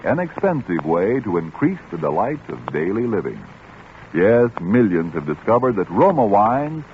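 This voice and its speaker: Male American radio announcer, 1940s ad read, lowish pitch, animated intonation, tinny old recording. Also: slight background noise. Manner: polished, formal salesmanship.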